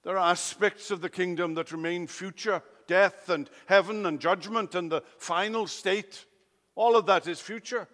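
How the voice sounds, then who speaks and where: A Welsh man, Welsh his first tongue, preaching in a fairly high voice that rings out through a large hall's long reverb. He drives key lines home loudly, then drops into quiet asides. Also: fairly even intonation, normal speed. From Wales. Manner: emphatic, swings between loud declarations and quiet asides. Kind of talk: expository sermon.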